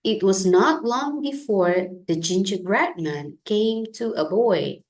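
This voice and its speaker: A woman reading a children's picture book aloud in a deliberate, expressive storytelling manner. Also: mid-range voice, poor audio.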